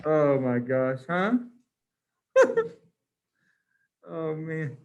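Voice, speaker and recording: A man's voice: on the high side, very slow, muffled recording. A man teaching a class over a video call, joking and teasing his students, with many restarts.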